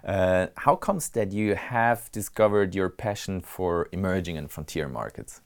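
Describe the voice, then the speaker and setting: A man's voice: low-pitched, animated, measured pace, creaky. A man hosting a podcast interview, friendly and teasing. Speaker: podcast host.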